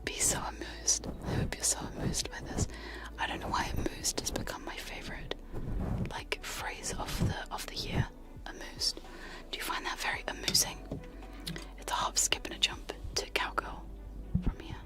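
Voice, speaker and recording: A young woman whispering close to sensitive microphones in a casual, giggly ASMR chat with trigger sounds, often poking fun at herself. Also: moderate pace. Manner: soft whisper, giggly and self-deprecating. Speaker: ASMR streamer.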